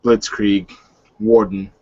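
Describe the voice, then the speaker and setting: A man playing a gruff, sardonic male werewolf warden in a tabletop game, with a dry delivery. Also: lowish voice, little pitch movement, slow, warm tone, highs cut off.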